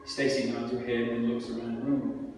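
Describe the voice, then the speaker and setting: A man's voice: medium pitch, flat delivery, normal speed. A man narrating stage directions from a screenplay at a live table reading. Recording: echoey hall from far off, music behind.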